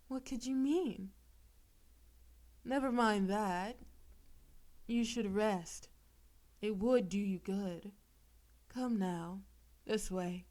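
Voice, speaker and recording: A woman's voice: medium pitch, a little mellow. A woman playing a possessive, demon-possessed girlfriend in an intimate audio roleplay, sweetly condescending and teasing with veiled menace. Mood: coaxing, feigned sweetness. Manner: slow, coaxing, teasing, with pet names and veiled threats.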